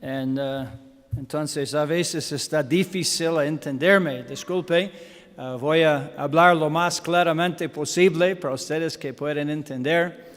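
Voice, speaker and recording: A man with an American accent, a native English speaker, preaching in a church in halting Spanish with English fillers and self-deprecating humor. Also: fairly high pitch, fairly even intonation, big-room sound. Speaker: guest pastor. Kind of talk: sermon with Bible reading. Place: church sanctuary.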